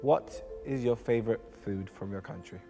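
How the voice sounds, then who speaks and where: A man's voice: lowish voice, normal speed, music in the background. A man competing in a guessing game, teasing his opponents in banter. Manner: teasing, animated. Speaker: game show contestant. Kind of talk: playful banter.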